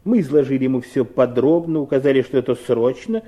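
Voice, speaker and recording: A man's voice: medium pitch, fairly quick, dark tone, lightly acted. An actor in a 1970s Soviet radio play playing a stern, impatient husband and businessman with an insistent, scolding manner. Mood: explaining, insistent.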